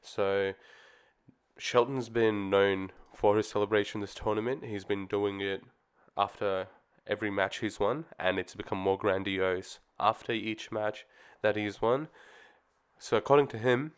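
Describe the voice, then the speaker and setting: A man's voice: on the low side, fairly even intonation, measured pace, clean tone. A man talking casually on his own channel about tennis, easygoing and rambling with plenty of ums, his voice slightly off as he gets over the flu. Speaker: tennis commentary vlogger. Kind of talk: casual solo opinion talk.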